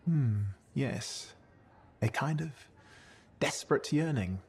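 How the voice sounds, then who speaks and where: Cocky young sidekick from a video game, voice all over the place, braggy, wry, kinda touchy. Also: male voice, mid-range voice, expressive, slow, darkish tone, slightly rough, exaggerated voice. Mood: wistful, teasing. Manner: boastful, wry banter. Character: cocky young man, eager cipher sidekick, wounded pride. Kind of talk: video game cutscene acting.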